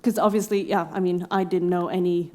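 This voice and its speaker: A woman's voice: lowish voice, steady tone, fairly quick, warm tone. Reflective young woman telling her story. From Norway. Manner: reflective, many fillers, laughs often. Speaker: exhibiting artist guest. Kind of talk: casual podcast conversation.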